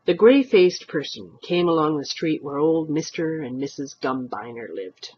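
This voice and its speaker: A woman reading a short story aloud in an expressive narrating style. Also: low-pitched, moderate pace, slightly rough, dull audio. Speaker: amateur story reader.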